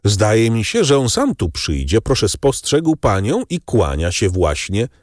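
A man voicing gossiping ladies and gentlemen at the opera in a raised, animated voice. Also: on the low side, unhurried, no room sound, clean studio sound, voice pushed up, full character voice. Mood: chatty.

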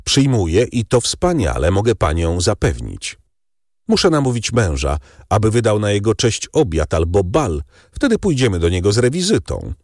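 A man voicing gossiping ladies and gentlemen at the opera in a raised, animated voice. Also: on the low side, normal speed, no echo, studio quality, pitched above the performer's own voice, heavily acted. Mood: chatty.

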